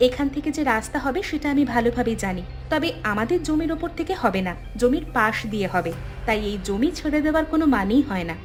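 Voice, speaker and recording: A woman's voice: on the high side, expressive, fast, faint music behind, close to an everyday voice. A woman voicing a feisty, defiant poor village wife in a cartoon folk tale.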